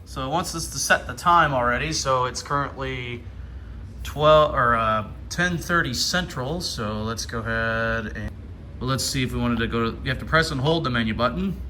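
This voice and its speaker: American male vlogger, forceful bright tone, informal and enthusiastic, casual unboxing narration, noticeable background noise. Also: medium pitch, normal speed. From Ohio, United States. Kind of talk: casual hands-on product unboxing commentary. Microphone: handheld camera.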